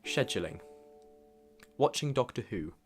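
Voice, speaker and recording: A man's voice: medium pitch, very animated, unhurried. A young adult man reading a scripted, personal look back as a voice-over. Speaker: video narrator. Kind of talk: scripted retrospective voice-over narration. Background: music under the voice.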